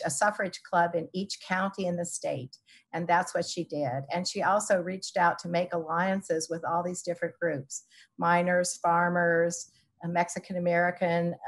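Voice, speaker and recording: A woman with a fairly low voice speaks over a video call from a room at home. She explains things in a measured way as a panelist, pausing often with ums and uhs. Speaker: historian panelist. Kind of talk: panel discussion answers.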